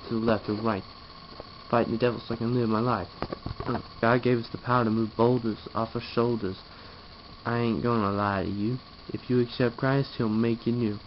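A tired, yawning young man talking drowsily while lying in a small bedroom, then rapping verses into his webcam. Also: mid-range voice. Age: young adult. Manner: drowsy, mumbling, trailing off. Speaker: vlogger. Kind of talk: casual vlog with rapped verses. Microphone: webcam held close overhead. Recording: dull lo-fi webcam audio with noise.

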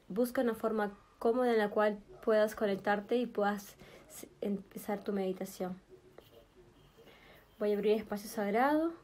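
A woman leading a guided meditation in a soothing, gentle manner, speaking in short, spaced phrases. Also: mid-range voice. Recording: background music with flute. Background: meditation music with flute.

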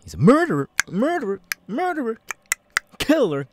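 A man voicing a weary, homeless young ex-convict with tics, halting and stammering in an ASMR audio roleplay. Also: very high voice, huge pitch swings, noisy background, exaggerated voice. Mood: agitated tics. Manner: halting, stammering, with abrupt repeated-word tics. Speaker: voice actor. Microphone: close microphone, dry.

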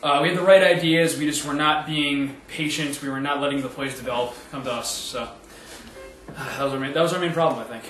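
A man's voice: fairly high pitch, brisk, fairly bright tone, recorded from a distance, faint music behind. Earnest man answering from afar. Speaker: senior player at a press conference. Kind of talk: comic press conference skit. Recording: echoey room, heard from afar.

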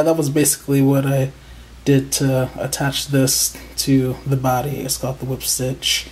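A slow-talking crochet vlogger hosting a casual show-and-tell from a table indoors. The voice is excited and proud but halting, full of ums and small pauses, with an unhurried pace throughout. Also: mid-range voice, slight background noise. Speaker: crochet channel host.

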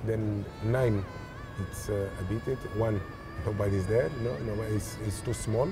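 A young man from Verde, speaking haltingly as an interview guest on an outdoor café terrace. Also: on the low side, steady tone, over music. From Cape Verde. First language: Cape Verdean Creole. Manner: halting, searching for words. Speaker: footballer guest. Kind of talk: casual interview in non-native English. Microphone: lapel mic.

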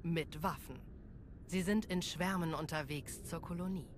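A woman's voice: on the low side, measured pace, noisy background, natural voice. A woman playing a tough, cocky security chief in a German game dub, sardonic and confident. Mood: cocky, dry.

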